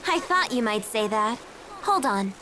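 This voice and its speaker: A woman playing a perky, eager and headstrong teenage girl adventurer in a video game, bubbly and expressive. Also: a little high, measured pace, background noise. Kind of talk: video game English dub acting.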